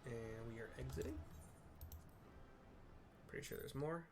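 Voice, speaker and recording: A man's voice: medium pitch, unhurried, music in the background. A man describes a video game scene as he plays, speaking casually and loosely. He often hesitates and restarts as he searches for words. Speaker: player narrating a game. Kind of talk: casual live audio description of gameplay.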